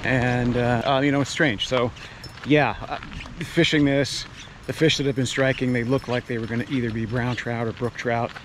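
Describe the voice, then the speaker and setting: A man chats to his camera outdoors in a rambling, thinking-aloud way, trailing off and restarting. His voice sits at an ordinary middle pitch. The steady roar of a stream fills the recording behind him. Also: slight background noise. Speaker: fishing vlogger. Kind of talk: casual narration while hiking and fishing. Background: rushing stream water.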